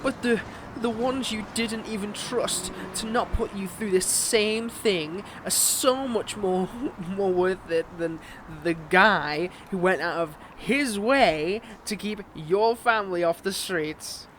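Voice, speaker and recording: A man's voice: high voice, a bit bright, a little hiss or hum. A man acting as a flirtatious, mocking villain and bitter scorned ex in a dramatic audio roleplay, drawing out his teasing words. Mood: taunting, resentful. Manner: teasing, mocking, drawn-out delivery with pet names.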